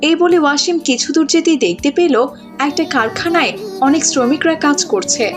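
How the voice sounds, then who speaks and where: Casting: female cartoon storyteller, very high voice, scripted delivery over soft music. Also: normal speed. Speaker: narrator.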